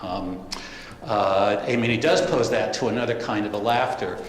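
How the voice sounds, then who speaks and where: A man's voice: on the low side, normal speed. A man in the audience asking a question from the floor, rambling and hesitant, with many fillers. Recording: echoey hall with long reverb, faint noise.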